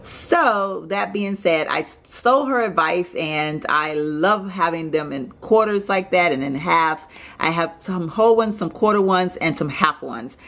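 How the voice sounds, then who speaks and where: A middle-aged woman from Texas, hoarse from allergies, chatting casually through a home vlog in her kitchen, with many fillers and self-corrections. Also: lowish voice, expressive, measured pace, a bit bright. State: raspy from allergies. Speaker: home organizing vlogger. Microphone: handheld camera mic, filming off screen.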